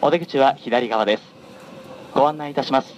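A man making formal, scripted onboard train announcements in a measured, polite manner, as a conductor does. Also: medium pitch, dull audio. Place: onboard a running train, over the announcement system. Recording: clear noise of a moving train. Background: train running noise.

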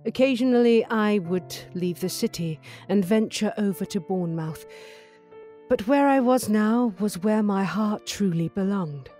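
A woman playing a tender, dignified non-human lady who narrates her memories in a measured, literary way in an audio drama. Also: mid-range voice, mellow, faint music behind, lightly acted. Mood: tender, reflective.

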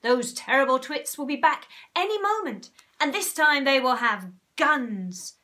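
Lady putting on a frantic shouty monkey dad, high and bright, bossing everyone. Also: normal speed. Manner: shouting, excitable, bossy. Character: frantic bossy male monkey father.

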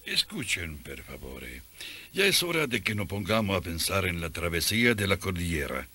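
Deep husky priest. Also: male voice, big pitch swings, normal speed, bright, forceful tone, heavily acted. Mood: teasing, instructive. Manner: chuckling, emphatic, repeats words for effect. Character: genial, teasing Italian missionary priest leading nuns. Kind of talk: radio drama acting.

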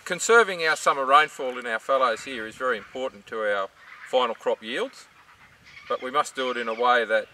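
A man's voice: mid-range voice, fairly quick, pushed and bright. A middle-aged man with an Australian accent, giving an informative explanation to camera as a farm researcher. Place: outdoors in open dry farmland. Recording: distant mic, outdoor noise.

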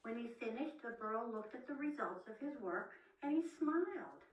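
A woman's voice: fairly high pitch, expressive, normal speed, lo-fi sound. A woman in her seventies reading a children's picture book aloud indoors, some distance from the camera. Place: indoor room with plain wall. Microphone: camera a few feet away. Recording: echoey room, distant microphone.

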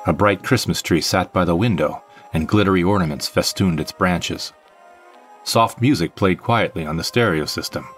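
A man's voice: deep voice, moderate pace, faint music behind, close to an everyday voice. A man playing a brooding, vengeful jilted ex-husband, narrating an audio drama in the first person with melodramatic deliberation. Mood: bitter, bewildered.

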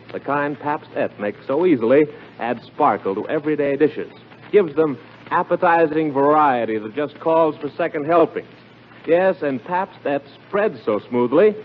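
Animated American male radio announcer, tinny sound. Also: fairly high pitch. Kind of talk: 1940s radio ad and scripted narration. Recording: tinny 1940s radio, faint noise.

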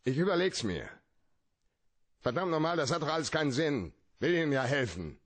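An actor in a German radio play as a cool, cynical, shrewd private detective with a laconic, deadpan way of talking. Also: male voice, on the high side, normal speed. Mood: exasperated.

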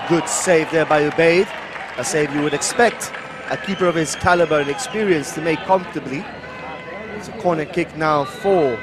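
A man giving excitable live play-by-play commentary on a football match. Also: extremely high pitch. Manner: excitable, rising to shouts at chances. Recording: loud crowd noise behind commentary. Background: stadium crowd roar.

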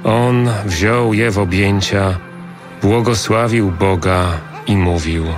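A man narrates scripture with a solemn, measured delivery. His voice sits fairly low, he takes his time, and music plays clearly behind him.

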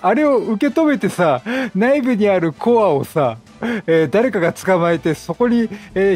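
A man giving casual, chatty game commentary, excitable, repeating phrases and reacting aloud. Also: high-pitched. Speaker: game streamer. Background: game music.

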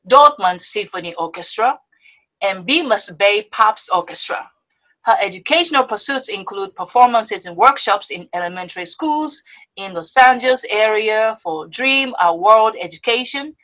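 A woman from Osaka with a Japanese accent, hosting a video-call interview show in a bubbly, excitable way, laughing often. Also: a little high, rough recording. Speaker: host. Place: indoors before a movie-themed backdrop. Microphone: webcam video call.

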